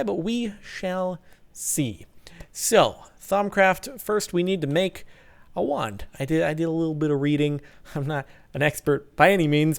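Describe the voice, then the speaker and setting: A young man in his twenties casually commenting on his own gameplay as a let's play host, rambling and upbeat, poking fun at himself. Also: on the high side. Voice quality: gravelly. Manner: rambling, upbeat, self-deprecating.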